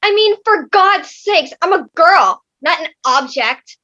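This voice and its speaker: A woman's voice: very high voice, expressive, takes it slowly, pushed and bright, lo-fi sound, heavily acted. A young woman playing a chatty, independent-minded thirteen-year-old girl in a comic stage reading. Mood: wistful, frank.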